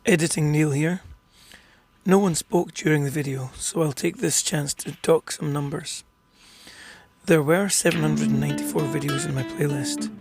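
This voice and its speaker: A middle-aged man with a rough voice hosts a casual group chat, halting and full of fillers. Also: a little high, steady tone, a little mellow, faint music behind. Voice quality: rough, gravelly voice. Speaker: host.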